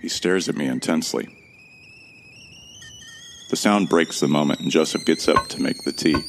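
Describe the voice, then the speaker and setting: A man's voice: a bass voice, moderate pace, gravelly, noisy background. A man narrating an audio drama in the first person, earnest and bewildered. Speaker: narrator and lead actor.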